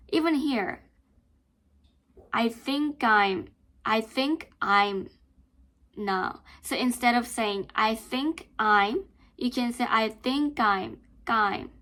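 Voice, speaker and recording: A young woman teaching English in a careful pronunciation lesson, drilling phrases slowly and repeating words with stress. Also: fairly high pitch, sharp tone.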